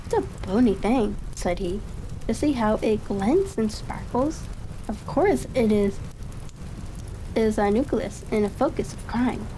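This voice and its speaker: A woman calmly reading a classic mystery story in an unhurried ASMR style, sometimes halting over words. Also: on the high side. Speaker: audiobook reader. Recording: steady rain-like crackling ambience behind. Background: fireplace crackle ambience.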